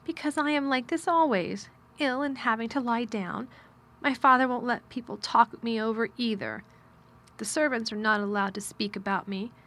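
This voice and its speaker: Woman voicing a plaintive, sickly young boy, pitched high. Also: normal speed, fairly bright tone, lightly acted. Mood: fretful, gloomy. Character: sickly, fretful, self-pitying young boy. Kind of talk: audiobook character dialogue.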